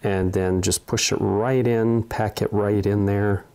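A man's voice: on the low side, steady tone, measured pace, gravelly, a little mellow, clear, resonant tone. A middle-aged man narrating a hands-on repair tutorial in a plain-spoken, step-by-step way. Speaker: small engine repair host. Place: indoor repair workshop.

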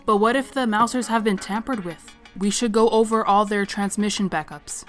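A woman playing an earnest, anxious young female lab assistant in an animated drama, hesitant and troubled. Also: mid-range voice, moderate pace, faint music behind, close to an everyday voice. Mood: worried.

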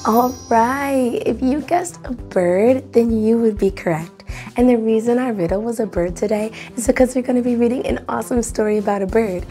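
A young woman hosting storytime for children, speaking in a lively, encouraging way straight to her young audience. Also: fairly high pitch, moderate pace, soft background music. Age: young adult. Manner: encouraging, addresses children directly. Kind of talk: lively instruction and read-aloud for young children.

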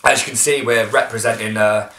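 A young British man on a living-room sofa, doing a mock-serious sales pitch with crude jokes for a comedy vlog. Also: fairly even intonation, a little fast, pushed and bright. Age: young adult. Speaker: vlogger. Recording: roomy with faint noise.

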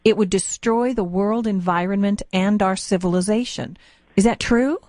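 An American woman hosts a live call-in radio show. Her voice sits at a middle pitch. She speaks close to the microphone in a dry studio sound. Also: normal speed, darkish tone, no room sound. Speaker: radio talk show host. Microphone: close broadcast studio microphone.

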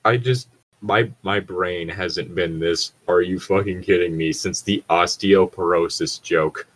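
A man's voice: low-pitched, fairly even intonation, a little slow. A man co-hosting casual comedic banter in an exasperated, sweary way as he comments on fan fiction. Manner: exasperated, profane. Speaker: co-host reading fan fiction aloud.

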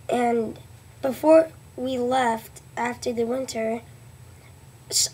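An earnest Viking explorer, played by a teenage girl tells of his voyages in a school report. The voice sits fairly high and sounds husky, with halting restarts. It is recorded close on a webcam in a small room. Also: a little mellow, heavily acted. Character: male Viking explorer Leif Erikson, adult, earnest. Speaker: student presenter. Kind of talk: school history report in character. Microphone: webcam at close range.

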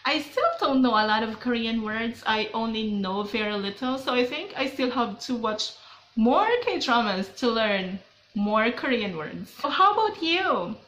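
A woman's voice: a little high, expressive, a little slow, fairly bright tone, roomy sound, low-quality recording. A young Filipino woman with a Filipino accent, chatting upbeat and playful in a casual vlog to camera in a small bedroom. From Philippines. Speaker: vlogger.

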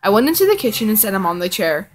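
A woman's voice: mid-range voice, sing-song, fast, soft background music. A young woman vlogger chatting casually and reading fan fiction in a rambling, giggly way. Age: young adult. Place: living room indoors.

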